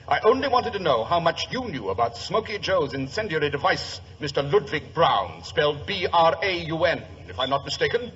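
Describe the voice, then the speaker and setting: Unflappable English detective, British accent, very animated intonation, commanding, theatrical, dull vintage radio sound. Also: male voice, on the high side, normal speed, few pauses. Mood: cool, wry. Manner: clipped, imperious, theatrical. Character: brisk, unflappable English gentleman detective, commanding and sharp-witted. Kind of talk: 1940s radio drama acting. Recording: old muffled radio recording, faint noise.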